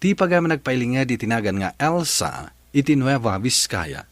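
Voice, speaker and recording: A man's voice: mid-range voice, very animated, moderate pace, no echo. A man with a Filipino accent hosting a radio drama with dramatic scripted narration.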